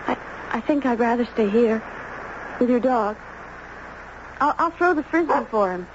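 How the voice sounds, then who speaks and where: A woman's voice: fairly high pitch, expressive, normal speed, close to an everyday voice. An actress playing an earnest, stubbornly direct young girl in a 1970s radio drama. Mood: pensive, stubborn. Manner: earnest, blunt, insistent. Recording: dull lo-fi old radio, faint noise.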